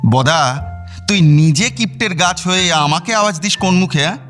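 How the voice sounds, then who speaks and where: A man's voice: sits high, normal speed, fairly bright tone, faint music behind. A man acting a stingy, suspicious middle-aged father in a radio drama, grumbling and hesitating as he talks. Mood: indignant.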